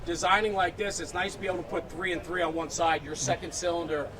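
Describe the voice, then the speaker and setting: A man's voice: sits high, flat delivery, quick pace. A man hosting an unscripted equipment walkaround, enthusiastic and emphatic, often checking in with "right?". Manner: emphatic, engaged, peppers talk with "right?". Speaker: host of a fire apparatus walkaround. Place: outdoors at a busy convention. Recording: outdoor crowd and vehicle noise.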